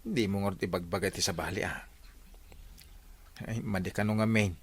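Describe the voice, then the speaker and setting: A man's voice: sits low, fairly even intonation, a little fast, husky. An actor playing a gullible, coy male villager in Ilocano radio comedy, hesitant and confiding with a Filipino accent. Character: coy, gullible male villager, secretive about his love life.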